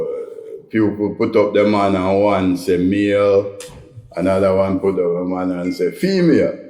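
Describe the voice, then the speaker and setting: A middle-aged Jamaican man, a comedian, telling stories in a relaxed, rambling interview, often tagging his lines with "right?" and "you know". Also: low voice, echoey room. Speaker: comedian guest.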